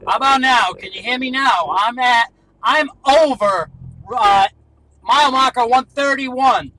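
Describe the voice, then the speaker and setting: A man's voice: very high voice, a little slow, sharp tone, slight background noise, low-quality recording. A man talking casually as a CB radio operator, making brief two-way range-check calls.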